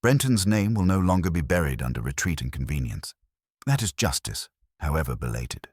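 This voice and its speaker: Male reader as grave storybook sleuth, bottom-register, pronouncing verdicts with deliberate weight and varied intonation. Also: rumbling low, very animated, darkish tone, slightly rough, no room sound, studio quality, exaggerated voice. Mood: grave, certain. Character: stern, deliberate male detective, grave and unhurried.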